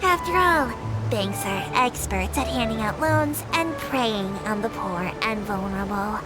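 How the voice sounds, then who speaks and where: High-voiced, prim little creature tour guide. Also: female voice, expressive, measured pace, poor audio. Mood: composed, dryly candid. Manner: formal, precise, politely matter-of-fact with dry asides. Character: small polite female creature working as a tour guide. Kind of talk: scripted video game tour announcements. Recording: loud music under the voice. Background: music.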